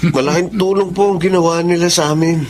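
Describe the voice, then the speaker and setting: A man's voice: sits high, fairly even intonation, fast talker, natural voice. An actor playing a humble, grateful husband and father in a Filipino radio drama, deferential and earnest.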